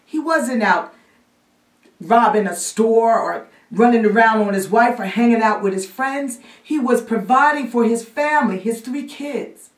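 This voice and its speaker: A woman's voice: a little high, unhurried, pitched above the performer's own voice, lightly acted. A woman playing an indignant newspaper reporter pleading emphatically with an editor in a theatrical monologue. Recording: roomy echo, distant microphone.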